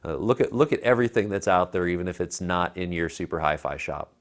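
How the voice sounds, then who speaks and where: A man's voice: deep voice, steady tone, quick pace. An American man in his thirties reviewing tech in casual chat, with an emphatic, persuasive, colloquial way of talking. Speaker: tech reviewer, show co-host. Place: living room at home. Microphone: clip-on lapel mic.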